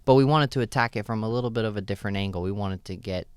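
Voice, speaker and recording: Deep-voiced man, relaxed and chatty. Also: rapid-fire, clean tone, no echo. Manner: relaxed, loose, many fillers and "right?" tags. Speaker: store owner, guest. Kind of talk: casual interview answers.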